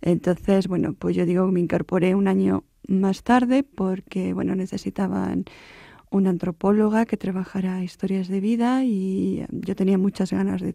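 A woman professor, explaining her research in a radio interview and often pausing to search for words. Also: medium pitch, creaky, warm tone, no echo, studio quality. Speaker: anthropology professor, interview guest.

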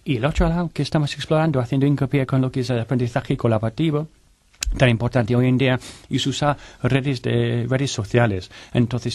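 A man's voice: medium pitch, darkish tone, no room sound. A man who directs a technology innovation centre, explaining at a round-table discussion around a studio table, often restarting his words. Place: studio table with other guests.

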